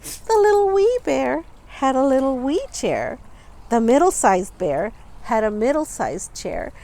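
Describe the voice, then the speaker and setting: Female storytime reader, lively intonation and unhurried delivery, clearly stressing key words for small children. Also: sits high. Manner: expressive, deliberate, stressing and stretching words for young listeners. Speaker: storytime reader, teacher reading a picture book aloud. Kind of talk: children's storybook read-aloud with asides about the pictures.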